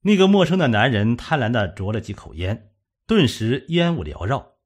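A man with a husky voice reading a scripted suspense story as an audiobook narrator. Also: medium pitch, very animated, moderate pace.

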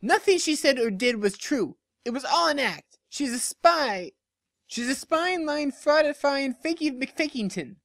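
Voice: sits high, animated, measured pace, a bit bright, no room sound, voice pushed up, heavily acted. A narrator voicing an emotional, bitter female cartoon pony in a story, full of accusation. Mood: hurt, accusing.

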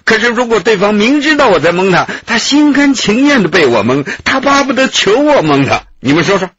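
An actor playing a glib, slippery art dealer under questioning, husky, wordy and wheedling, in a radio drama. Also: male voice, sits high, big pitch swings, fairly quick, a bit bright, dry recording, lo-fi sound, exaggerated voice. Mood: defiant, cocky. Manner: wordy, wheedling, self-justifying. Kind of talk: Chinese radio drama acting.

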